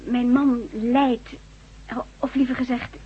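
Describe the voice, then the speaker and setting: An actress playing a caring, anxious wife in a radio drama, speaking soothingly and with concern. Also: female voice, on the high side, little pitch movement, slow pace, slightly rough, exaggerated voice. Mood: hesitant, anxious. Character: worried, caring wife of an amnesiac former astronaut. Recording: dull, lo-fi radio play recording.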